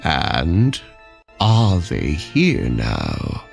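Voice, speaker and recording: A man voicing an arrogant, menacing king with an imperious, drawn-out delivery in a dark fantasy audio drama. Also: rumbling low, huge pitch swings, creaky, full character voice. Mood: smug, commanding. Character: restored tyrant king, arrogant, menacing, slow and deliberate. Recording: music behind.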